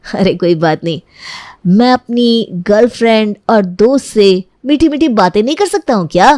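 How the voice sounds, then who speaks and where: A woman's voice: on the high side, lively intonation, moderate pace, darkish tone, dry recording, clean studio sound, natural voice. A woman voicing an earnest, evasive young man in a cartoon family story. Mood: affectionate. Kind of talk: cartoon moral-story dialogue.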